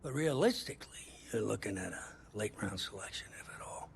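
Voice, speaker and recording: An actor playing an earnest, firm middle-aged football coach in a video game drama, speaking plainly and haltingly. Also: male voice, lowish voice, lively intonation, gravelly, in a whisper. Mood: firm, frank. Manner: halting, deliberate, plain-spoken.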